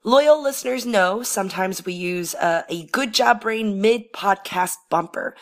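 A woman with a raspy, hoarse voice from being under the weather, hosting a quiz podcast in a friendly, playful way. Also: mid-range voice, a little slow. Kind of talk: casual solo podcast announcement.

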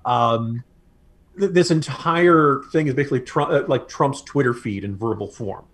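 A man's voice: mid-range voice, normal speed, fairly bright tone. Emphatic, sardonic American man commenting on politics. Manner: emphatic, sardonic, with mocking asides. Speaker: political podcast co-host.

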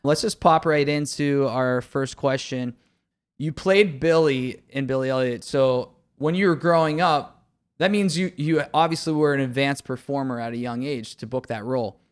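A man's voice: medium pitch, moderate pace, clear, resonant tone. A man hosting a podcast and interviewing a guest in a chatty, easygoing way. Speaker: podcast host. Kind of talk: casual chat.

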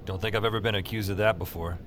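A man's voice: on the low side, fairly even intonation, fast, a bit bright, a little hiss or hum, close to an everyday voice. An actor playing a wry, awkward man who takes calls, in slangy audio drama narration and dialogue. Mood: wry, upbeat. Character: wry, awkward male call-taker new to a magical world.

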